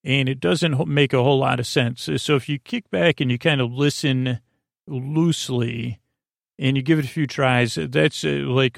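An American man with a creaky voice hosting a sleep podcast, rambling haltingly through a bedtime monologue full of tangents and repetitions. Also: mid-range voice, no room sound, clean studio sound. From United States. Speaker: podcast host.

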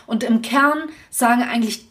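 A young woman with a voice a little higher than most speaks in a casual podcast conversation. She talks candidly and at length, circling back with restarts as she thinks aloud. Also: normal speed, fairly bright tone. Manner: candid, talks in long reflective runs with restarts. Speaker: podcast co-host.

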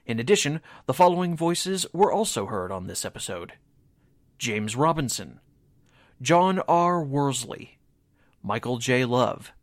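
Male announcer, mid-pitched, scripted radio-theatre sign-off, very dry recording. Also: dry recording.

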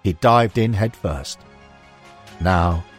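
A man with a husky voice reads a non-fiction football book as scripted narration. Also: sits low, takes it slowly, faint music behind. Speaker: audiobook narrator.

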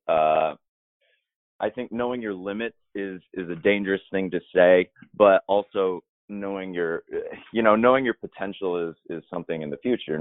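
A man with a very low, deep voice talks through his career in a reflective, meandering way, circling back and restarting as he thinks. The sound is thin and phone-like, with no room echo at all. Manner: thoughtful and rambling, with many restarts and fillers. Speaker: musical theater performer. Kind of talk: reflective interview answers. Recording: dry, tinny phone-like line.

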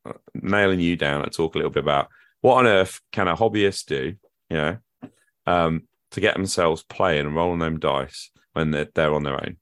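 A British podcast host with an extremely deep voice, recorded close and dry. He rambles in a self-deprecating way, full of fillers, while he gets over a cold. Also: moderate pace, fairly bright tone, no echo. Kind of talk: casual podcast chat.

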